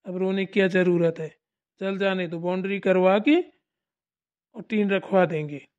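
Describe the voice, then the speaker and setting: Someone doing a chill old village dad, calm and reassuring, mid voice, dull lo-fi sound. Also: mid-range voice, normal speed, close to an everyday voice. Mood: soothing. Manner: soothing, reassuring. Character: calm older village father-in-law.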